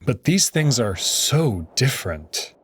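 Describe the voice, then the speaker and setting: Expressive man narrating a story, dry sound. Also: medium pitch, slow pace, breathy edge, no room sound. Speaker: narrator reading a visual novel aloud. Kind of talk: dramatic scripted narration.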